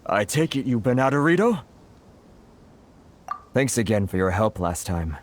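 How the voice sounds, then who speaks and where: A man's voice: fairly low pitch, very animated, unhurried. A man playing an anxious, downtrodden merchant in a video game, flustered, rueful and given to complaining. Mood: flustered, polite. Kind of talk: video game character acting. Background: background music.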